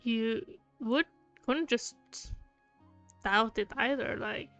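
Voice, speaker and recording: A woman with a fairly high, clean-toned voice streams a game. Her commentary is casual and conversational, with game music in the background. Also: slow pace, a bit bright, lo-fi sound.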